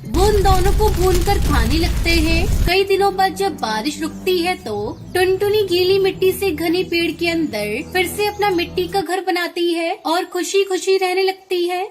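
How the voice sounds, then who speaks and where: A woman's voice: very high-pitched, measured pace, hardly any pauses. A woman reading scripted narration for a children's cartoon story. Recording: music under the narration.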